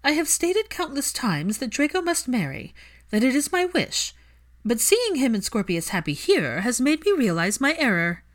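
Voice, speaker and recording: A woman's voice: high voice, very animated, moderate pace, voice pushed up. A woman voicing a stern, imperious older aristocratic mother in an audiobook story, speaking formally and emphatically. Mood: solemn, emphatic.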